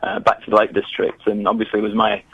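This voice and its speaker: A man's voice: lowish voice, flat delivery, quick pace, vocal fry, breathy edge, no room sound, muffled recording. A man, answering interview questions in a chatty, hesitant way with many restarts. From northern England. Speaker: guest, geography teacher. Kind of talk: remote interview.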